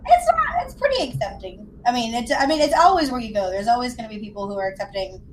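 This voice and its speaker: A woman's voice: on the high side, very animated, fast, slight background noise. A woman from South Carolina with an American accent, chatting casually in a playful, self-deprecating, joking way. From South Carolina, United States. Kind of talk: casual chat.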